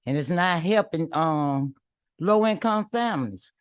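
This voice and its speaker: A woman's voice: deep voice, animated, measured pace, clear, resonant tone, no room sound, studio quality. A woman, a community activist giving emphatic, defiant public comment at a legislative hearing, repeating her points in colloquial speech.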